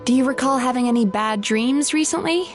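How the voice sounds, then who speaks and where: An actress playing a composed woman who enters dreams, explaining in a calm, measured way. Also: high-pitched, over music, natural voice.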